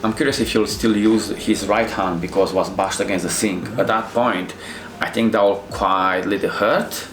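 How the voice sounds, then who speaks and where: A middle-aged man from Romania with a Romanian accent, a Krav Maga instructor reacting to fight scenes in halting, restarting English. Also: fairly low pitch, fairly even intonation, fairly bright tone, roomy sound. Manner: halting non-native phrasing with many restarts.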